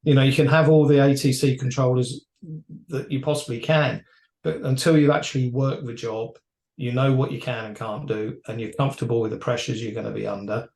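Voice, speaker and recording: A man with a mid-pitched voice speaks in a roomy, echoey space. He gives opinionated industry commentary in a conversational way, with a wry edge. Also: level tone, a little fast, vocal fry, darkish tone. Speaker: aviation expert commentator.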